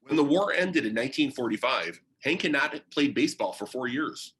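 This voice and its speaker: A man's voice: medium pitch, lively intonation, fairly quick, fairly bright tone. A man reading a biography aloud as narration, in the style of a book for young listeners.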